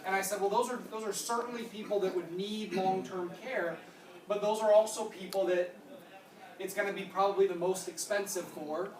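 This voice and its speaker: Trainer in their thirties, explanatory presenter, quick yep-and-nope answers, mid-pitched voice, roomy echo, picked up from a distance, faint background noise. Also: steady tone. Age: 30s. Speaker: insurance product trainer. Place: conference room.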